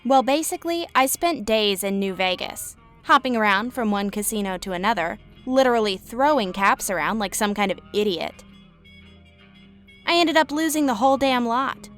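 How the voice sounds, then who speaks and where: A woman's voice: fairly high pitch, lively intonation, moderate pace, a bit bright. An actress voicing a broke, cheeky young woman gambler in a game, chirpy and self-mocking. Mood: storytelling. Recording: game audio with faint music.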